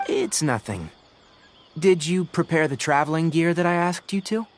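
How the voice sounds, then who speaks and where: Lively-pitched calm young ranger mentor. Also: male voice, slight background noise. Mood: calm, matter-of-fact. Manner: measured, matter-of-fact, precise. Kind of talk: scripted video game voice acting.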